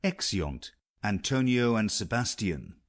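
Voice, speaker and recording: A man's voice: on the low side, big pitch swings, unhurried. A man narrating an audiobook play, reading out the stage directions.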